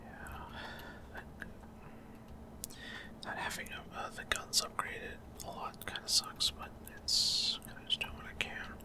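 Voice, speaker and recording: A man giving ASMR gameplay commentary in a soft, unvoiced whisper, hesitant and unhurried with trailing pauses. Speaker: ASMR gaming streamer.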